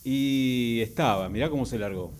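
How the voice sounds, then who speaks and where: A man's voice: mid-range voice, moderate pace, a little mellow, slight background noise, lightly acted. A man playing a fretful, bossy, impatient driver in a radio drama, with an Argentine Rioplatense accent. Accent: Rioplatense Argentine Spanish. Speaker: amateur radio drama performer. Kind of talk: naturalistic radio drama dialogue.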